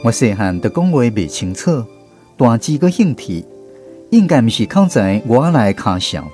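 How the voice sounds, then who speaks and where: A man's voice: mid-range voice, very animated, normal speed, dark tone, soft background music, natural voice. An actor playing a writer looking back on his village childhood in a radio drama, reflective and conversational, with a Taiwanese accent. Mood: reflective, nostalgic. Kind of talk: radio drama storytelling narration.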